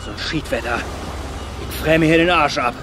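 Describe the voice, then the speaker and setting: A male actor as a gruff North German seaman in a radio drama. He grumbles bluntly in a fairly high voice, with clear outdoor noise behind him. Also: brisk, lightly acted. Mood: grumbling.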